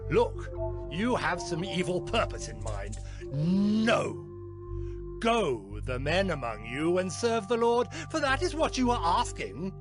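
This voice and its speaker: Proud, grudging pharaoh: high-pitched, slow, theatrical, commanding, over prominent music. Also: male voice, big pitch swings, heavily acted. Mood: imperious, scornful. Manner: theatrical, drawn-out commanding delivery. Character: proud, grudging Egyptian king, imperious and wavering. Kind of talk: dramatized scripture audio acting.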